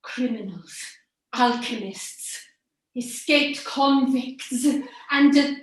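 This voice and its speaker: Pantomime gondolier, woman performer: Italian accent, courteous and calm, high-pitched, unhurried. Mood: soothing, warning. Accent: Italian, put on. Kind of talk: theatrical pantomime acting. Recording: very echoey, distant video-call audio.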